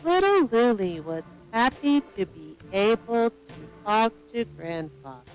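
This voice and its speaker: Female storybook narrator for children's tale, unhurried, lively high-ish voice. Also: soft background music, rough recording.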